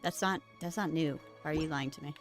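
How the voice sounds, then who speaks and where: A woman's voice: sits low, brisk, over music. A young woman doing casual live gameplay commentary as a streamer, informal and thinking aloud. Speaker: game streamer.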